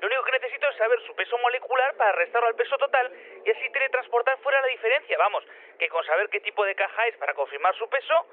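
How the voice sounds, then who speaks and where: Scatterbrained inventor, audio drama: eager, rapid, swooping delivery, stammering and repetitive, thin phone sound. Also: male voice, very high-pitched, expressive. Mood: excited explaining. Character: excitable, scatterbrained male inventor, eager and rambling. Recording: narrow phone-like radio link.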